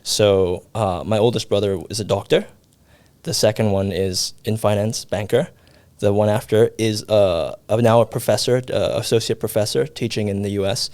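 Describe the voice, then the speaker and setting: A young man from Hong Kong, a guest on a podcast, chatting in a relaxed way with lots of fillers. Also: fairly low pitch, normal speed, smooth, dry recording. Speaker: guest, production company founder. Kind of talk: casual interview. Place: video podcast studio.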